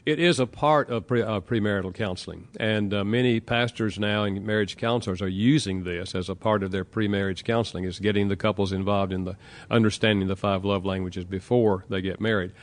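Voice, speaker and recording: A man with a Southern American accent speaks in a somewhat low voice. He answers interview questions patiently and explains his points step by step with everyday examples. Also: brisk. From Southern United States. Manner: calm, explanatory, gives examples. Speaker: author being interviewed.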